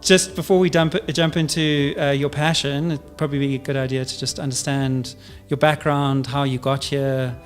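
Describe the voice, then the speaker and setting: A man's voice: medium pitch, little pitch movement, moderate pace, few pauses, soft background music. A male podcast host who opens and asks questions hesitantly, with many fillers. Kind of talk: podcast interview.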